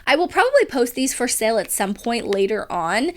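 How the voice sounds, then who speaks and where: A woman's voice: fairly high pitch, sing-song, a little fast. Chatty young woman with a cold. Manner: chatty, rambling, many fillers and restarts. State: has a cold. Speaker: book vlogger. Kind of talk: casual book review chat.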